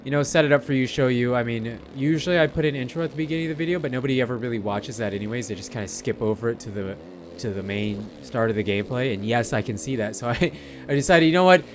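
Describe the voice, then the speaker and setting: A man's voice: medium pitch, fast, a little hiss or hum. A man giving casual, rambling gameplay commentary, chatting informally and speaking directly to his viewers. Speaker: gamer narrating gameplay.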